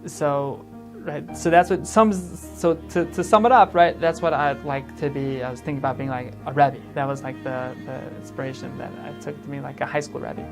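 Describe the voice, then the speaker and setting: A young man from Chicago, a teacher trainee giving a halting testimonial full of false starts and repeated words. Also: mid-range voice, steady tone. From Chicago, USA. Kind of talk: testimonial interview. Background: music.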